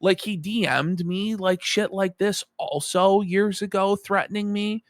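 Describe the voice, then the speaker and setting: A man co-hosting a podcast, chatting crudely and mockingly about an online figure, with loud outbursts when thanking supporters. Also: high voice, steady tone, measured pace, dry recording. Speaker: podcast co-host. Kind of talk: casual commentary chat.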